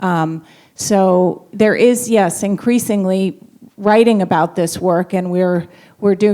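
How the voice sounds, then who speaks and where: Low-voiced woman on a panel. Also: darkish tone. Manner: measured, halting with fillers. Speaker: faculty panelist. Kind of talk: panel discussion answer. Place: university event room.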